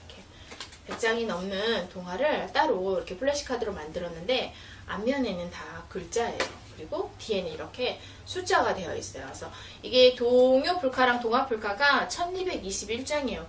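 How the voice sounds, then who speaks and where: A woman's voice: medium pitch, bright, forceful tone. A woman giving an informal, patient, step-by-step explanation of teaching materials to parents, like an educator. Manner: patient, step-by-step, acts out mother-child example dialogue. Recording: roomy, distant mic, faint noise.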